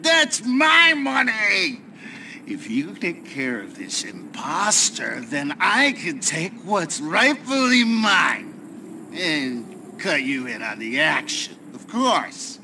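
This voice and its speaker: Gravelly, whiny drunken vagrant Santa. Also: male voice, high-pitched, sharp tone, slight background noise, heavily acted. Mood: scheming. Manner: whiny, rambling, self-pitying. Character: drunken, down-on-his-luck, deranged vagrant claiming to be Santa. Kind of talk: video game cutscene acting.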